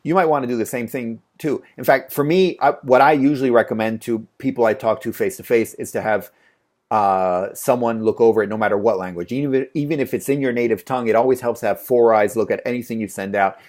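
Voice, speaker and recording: A man's voice: medium pitch, fast talker, a little mellow. A man teaching a casual course on freelance translation. He talks in a loose conversational way, with fillers and repeated phrases. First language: English. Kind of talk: casual spoken tutorial.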